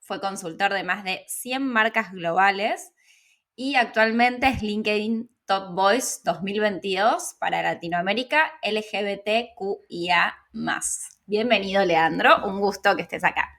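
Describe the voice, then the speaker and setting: A woman's voice: mid-range voice, measured pace, a bit bright. A woman hosting a conversational interview, asking the questions. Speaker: interview host.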